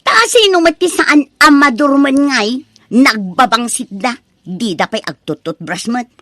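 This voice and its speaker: An actress plays a snobbish, sharp-tongued Ilocano daughter who puts on airs. Her high voice swings up and down with scorn, in a dry, close radio comedy sound. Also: lively intonation, a little slow, dry recording. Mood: indignant, scornful. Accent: Filipino, Ilocano. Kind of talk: comedic radio drama acting.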